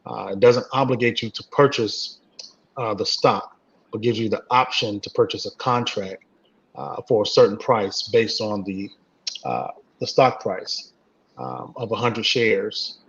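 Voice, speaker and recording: A husky man with a low, flat voice talks through his answers, stopping often on "uh" and "um". The sound is dull and lo-fi. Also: a little slow. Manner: hesitant, many uh and um fillers. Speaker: guest, trading community co-founder. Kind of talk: casual interview answers.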